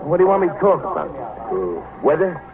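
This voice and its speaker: A man's voice: fairly high pitch, sing-song, fast talker, gravelly. An American man playing a nosy, folksy building superintendent in a vintage radio crime drama, garrulous, hesitant and colloquial. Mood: chatty, nervous. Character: chatty, nosy, folksy married building superintendent, eager to help. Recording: noisy, narrow-band old radio recording.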